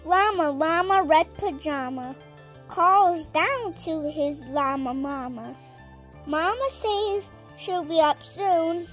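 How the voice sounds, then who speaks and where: A seven-year-old girl reading a children's story aloud in a deliberate, sing-song, emphatic way. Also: very high voice, lively intonation, soft background music. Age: child, seven years old. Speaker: storyteller reading a picture book.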